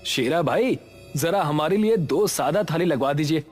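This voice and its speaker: Animated kind village woodcutter played by a man. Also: fairly high pitch, normal speed, soft background music, natural voice. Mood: weary, hopeful. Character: poor, kind, hopeful village woodcutter husband.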